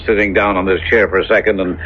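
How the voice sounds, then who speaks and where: An actor playing a skeptical, sardonic hospital chief neurosurgeon in a 1970s radio drama style, brusque and authoritative. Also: male voice, low voice, flat delivery, fast, lightly acted. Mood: professional, brisk. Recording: muffled, hissy 1970s radio recording.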